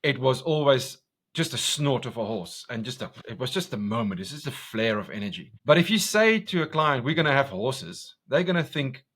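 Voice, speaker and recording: A man's voice: medium pitch, very animated, moderate pace. Intense Afrikaans-accented man. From South Africa. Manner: intense, passionate, talks loud, many restarts. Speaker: commercial director, guest. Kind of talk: podcast interview.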